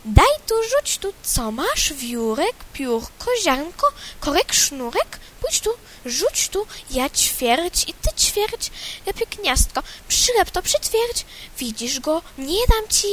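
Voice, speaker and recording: A woman voicing a flock of little birds squabbling over trinkets in squeaky voices. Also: very animated, slow, fairly bright tone, slight background noise, heavily acted. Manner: squeaky, grabby, bickering.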